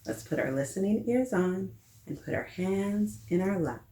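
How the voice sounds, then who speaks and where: A woman hosting a children's story time, reading a picture book aloud in a playful, expressive way and talking directly to her young listeners. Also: medium pitch, moderate pace. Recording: roomy, echoing sound.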